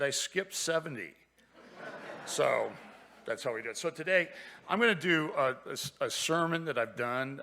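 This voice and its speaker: Raspy, bright-voiced male preacher. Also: medium pitch. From Ohio, United States. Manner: folksy, jokey storytelling. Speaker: guest speaker. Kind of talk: sermon with humorous asides. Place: church sanctuary.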